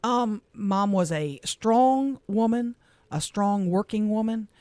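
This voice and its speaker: A middle-aged woman from Louisiana, a radio co-host, speaking softly and with emotion as she reads a personal letter on air in a studio. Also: medium pitch, slow pace, dry recording. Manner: heartfelt, soft-spoken, emotional.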